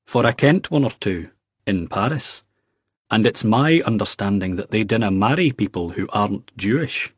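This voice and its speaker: Male narrator as a guarded young Scottish man, low and husky, blunt, careful questions, dry close sound. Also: lively intonation, normal speed, no echo. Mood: wary probing. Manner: careful, dry, politely probing.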